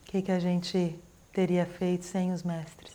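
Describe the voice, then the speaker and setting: A woman interpreting consecutively, with an even, steady, unemotional delivery. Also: on the low side, a little slow.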